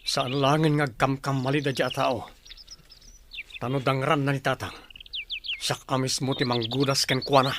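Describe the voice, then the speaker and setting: A man's voice: medium pitch, level tone, normal speed, long pauses, a bit bright, close to an everyday voice. A man playing a determined, loyal young son in a radio drama, speaking earnestly. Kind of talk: Filipino radio drama acting. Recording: noisy, birdsong effects behind.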